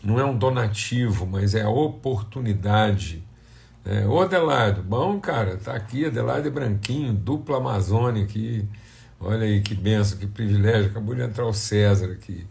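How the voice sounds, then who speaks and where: A Brazilian man leads an informal devotional as a pastor, talking to listeners like family. His voice is low and mellow, with plenty of gravelly creak. He sounds a little hoarse and stuffed up. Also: normal speed. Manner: conversational, addressing viewers as family and brothers. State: slightly hoarse and congested. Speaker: pastor hosting a devotional livestream. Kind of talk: informal devotional talk with prayer.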